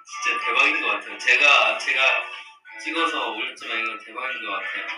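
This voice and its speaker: Young male streamer, casual and soft-spoken, mid-pitched, recorded from across a table in an echoey room. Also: a little fast, recorded from a distance, over music. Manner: soft-spoken, drifts into murmurs and whispers, laughs often. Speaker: livestream host. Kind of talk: casual livestream chat with fans. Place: room with dark wall at a long table, indoors. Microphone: device camera across the table. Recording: roomy, from slightly off-mic.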